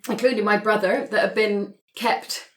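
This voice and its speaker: A woman's voice: medium pitch, fairly even intonation, normal speed. Woman roleplaying at the game table. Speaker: player in an actual-play podcast. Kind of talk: conversational in-character roleplay.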